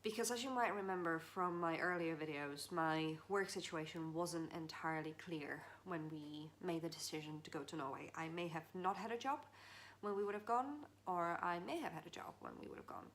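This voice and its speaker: Casual vlogger: woman from Czechia, lowish voice, earnest heart-to-heart advice, faint room hiss behind. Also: moderate pace, a bit bright. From Czech Republic. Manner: chatty, earnest, with small laughs and restarts.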